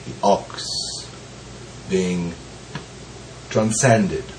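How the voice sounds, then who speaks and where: Slow-spoken man recovering from bronchitis. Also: deep voice, fairly even intonation, a little hiss or hum, poor audio. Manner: slow, pausing, gentle with soft laughs. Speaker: Zen teacher. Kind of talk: slow reflective teaching talk.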